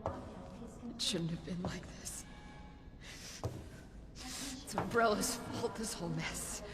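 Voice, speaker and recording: A woman's voice: mid-range voice, takes it slowly, over music, natural voice. An actress playing an anguished, resolute woman scientist in a video game cutscene. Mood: grieving.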